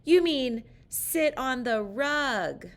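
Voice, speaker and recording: High, emphatic young schoolkids, played by a woman. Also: expressive, full character voice. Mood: amused shouting. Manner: loud, emphatic, drawn-out correcting. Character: giggling young pupils correcting their silly teacher in chorus.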